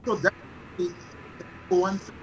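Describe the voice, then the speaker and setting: A man from Trinidad who is a guest on a remote line and gives short, halting answers. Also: high-pitched, steady tone, a little hiss or hum.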